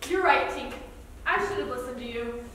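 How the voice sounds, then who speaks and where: A woman's voice: on the high side, moderate pace, fairly bright tone, lightly acted. A woman playing a tough, caring, blunt and exasperated mentor in a staged play reading. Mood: hurt, angry. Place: large theater stage, recorded from afar. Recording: echoey theater, distant mic, faint noise.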